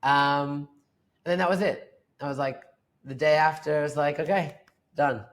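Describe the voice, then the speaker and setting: A man's voice: fairly high pitch, moderate pace, a bit bright. Rambling man in a casual chat. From Australia. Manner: rambling, many fillers and "like". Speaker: guest, painter. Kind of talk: casual interview talk.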